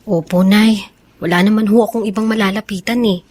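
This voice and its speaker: A woman's voice: mid-range voice, steady tone, a little fast, a little mellow, no echo, natural voice. A woman playing an outspoken, resentful young student daughter in a Filipino radio drama. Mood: puzzled, respectful.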